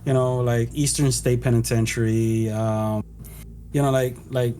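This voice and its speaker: Halting man full of fillers. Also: medium pitch, level tone, dark tone. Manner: halting, heavy with fillers like "you know". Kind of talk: casual podcast chat. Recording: faint background noise.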